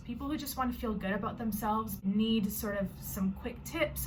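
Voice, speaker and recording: A woman's voice: mid-range voice, a little hiss or hum, low-quality recording. Chatty Canadian woman vlogging from her bedroom. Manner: friendly, explanatory, loose with filler words. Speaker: vlogger and organization founder. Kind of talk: casual talking-to-camera vlog. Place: own bedroom.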